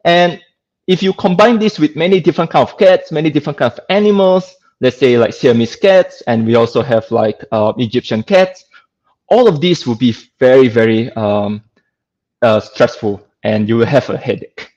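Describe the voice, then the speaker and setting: A man's voice: medium pitch, moderate pace, darkish tone. Singaporean-accented man lecturing, dull lo-fi audio. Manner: conversational, peppered with "like" and checking "right?". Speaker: guest speaker, lecturer. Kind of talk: informal online technical lecture.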